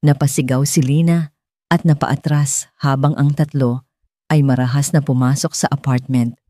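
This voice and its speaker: A woman's voice: very deep voice, steady tone, normal speed, dark tone, no echo. A woman narrating a scripted dramatic story as an audiobook reader.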